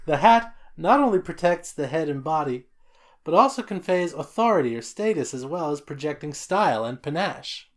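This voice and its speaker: A loud, jolly man chatting on a podcast. His voice sits a little high for a man. He stumbles and restarts his sentences often, but keeps a cheerful, easygoing tone. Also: big pitch swings, normal speed. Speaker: podcast co-host. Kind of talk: casual chat, reading a listener letter.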